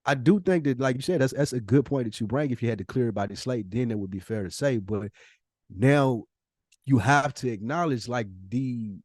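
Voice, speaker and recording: A man's voice: medium pitch, brisk, a little mellow, dry recording, clean studio sound. A man from the United States talking as a podcast host in casual, opinionated chat, slangy and emphatic in his delivery.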